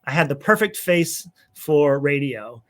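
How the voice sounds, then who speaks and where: A man's voice: fairly high pitch, animated, a little slow. Middle-aged male pastor, guest; casual, rambling, easy laughter. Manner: chatty, rambling, laughs easily, many fillers. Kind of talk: casual interview conversation.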